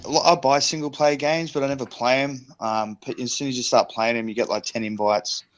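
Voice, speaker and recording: A man in casual podcast chat, easygoing and halting, often repeating himself as a co-host. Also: medium pitch, fairly even intonation, highs cut off. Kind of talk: casual chat about gaming.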